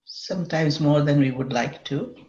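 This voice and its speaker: A woman leading a guided meditation in a measured way, often pausing in the middle of sentences. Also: sits low, mellow. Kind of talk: guided meditation, semi-improvised. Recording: dull lo-fi, echoey room.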